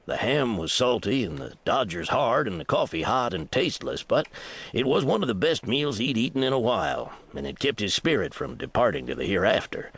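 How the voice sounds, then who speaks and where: A low-voiced American man narrates a western audiobook. His delivery is lively and varied in pitch, with a gravelly creak, and there is faint noise behind the voice. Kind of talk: scripted narration of a western novel.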